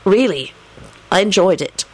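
A reader performs a polite young man in a fantasy audiobook. He is earnest and mild-mannered, with a slightly awkward courtesy. The voice sits at a middling pitch in a very dry recording. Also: animated, a little slow, dry recording, rough recording.

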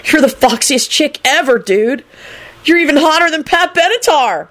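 A woman acts out a lovesick young dude, pitched high and swooping. He gushes in an overwrought, excitable slacker manner, an audiobook character utterly smitten. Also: moderate pace. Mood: lustful. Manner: gushing, overwrought.

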